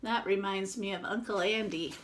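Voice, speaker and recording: A woman reading a picture book aloud to children, expressive, with casual chatty asides to explain it. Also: mid-range voice, normal speed. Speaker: children's read-aloud presenter.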